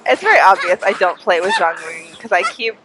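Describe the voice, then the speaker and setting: A woman's voice: fairly low pitch, animated, fairly quick, very noisy. A young woman chatting casually and playfully as she livestreams a game, sounding a little congested. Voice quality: congested, audibly breathy breathing. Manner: playful, giggly, echoes game characters' lines theatrically. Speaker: game streamer. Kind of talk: casual livestream gameplay chat. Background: video game music.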